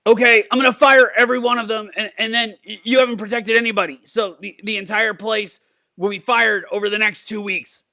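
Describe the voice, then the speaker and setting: A man voicing a belligerent, drunken old mad scientist in a cartoon-style comedic reading, gravelly and stammering, repeating himself and cursing. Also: sits high, fairly even intonation, a little fast, pushed and bright. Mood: angry, threatening. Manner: stammering, repeating words, profane.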